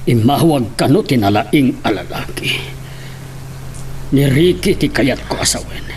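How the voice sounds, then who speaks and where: A man's voice: medium pitch, lively intonation, unhurried, slight background noise. A man playing an older, concerned father giving earnest counsel in a melodramatic audio drama. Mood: troubled.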